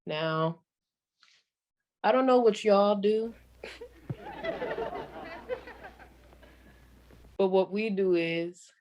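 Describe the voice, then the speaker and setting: A woman playing a folksy older stand-up comedienne of the 1940s, telling jokes in a drawn-out, deliberate way. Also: medium pitch, dull audio, a little hiss or hum, close to an everyday voice. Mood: folksy, wry.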